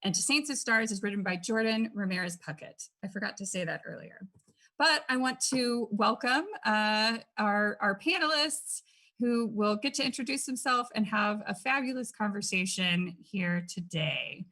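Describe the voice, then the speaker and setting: A woman with a mid-range voice hosts a panel event. She welcomes the guests casually, with quick informal asides. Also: medium pitch, bright, forceful tone. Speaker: event host. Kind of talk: hosting a panel.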